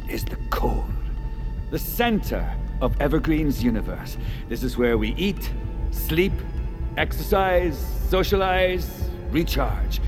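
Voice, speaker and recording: A man acting in an audio drama as a grandiose, courteous visionary host, suave and measured like a showman. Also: high-pitched, heavily acted. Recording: music behind.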